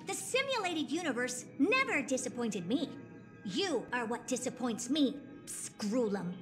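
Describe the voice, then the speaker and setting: An irritable, conceited young female scientist in a video game. The voice is high and very animated, with curt, scornful emphasis. Music plays clearly behind it. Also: measured pace. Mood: angry, scornful.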